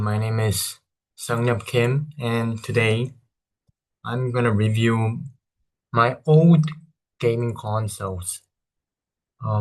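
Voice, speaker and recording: Korean accent guy in his 30s, talks slow, keeps correcting himself. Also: medium pitch, a little mellow, low-quality recording. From South Korea. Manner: rambling, hesitant, self-correcting. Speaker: vlogger. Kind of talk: casual console review vlog.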